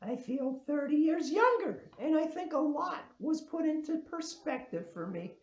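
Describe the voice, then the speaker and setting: A woman's voice: sing-song, darkish tone, dull audio, heavily acted. A woman playing a giddy, amorous 108-year-old aunt in a theatrical, gushing way at a comedy table read. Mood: perky, exuberant. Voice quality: high-pitched. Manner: slow, theatrical, gushing delivery. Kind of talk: comic film script table read. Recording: echoey room.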